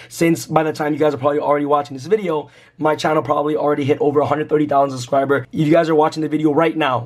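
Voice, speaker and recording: A young man with a raspy, worn voice from recent screaming, hosting a card game channel. He talks very quickly in a fairly high voice, hyped and salesy, calling the viewers "you guys" nonstop. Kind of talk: excited deck profile talk to camera.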